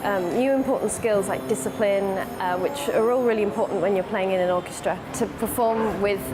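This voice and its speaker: A woman's voice: medium pitch, brisk. A woman from the UK, a music teacher, speaking with enthusiasm and a few hesitations in an interview. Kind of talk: documentary interview.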